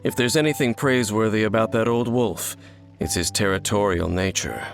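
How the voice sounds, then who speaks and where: A man playing a solemn, world-weary knight in a video game, speaking in a measured, reserved, formal way. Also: on the low side, close to an everyday voice. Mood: grave, reserved. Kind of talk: video game dialogue acting. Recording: voice over game music.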